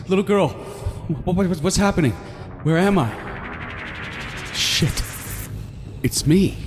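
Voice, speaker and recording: An actor playing a trapped male serial killer in a horror audio drama, obsessive and desperate, moving between intense narration and pleading. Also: fairly high pitch. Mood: panicked, pleading. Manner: intense, swinging from murmured narration to frantic pleading.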